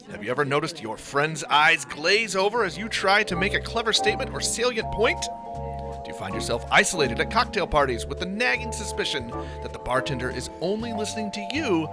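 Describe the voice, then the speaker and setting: A man's voice: sits high, big pitch swings, brisk, over music. An actor playing an earnest radio announcer delivering an emphatic, salesman-like parody commercial. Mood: earnest, selling.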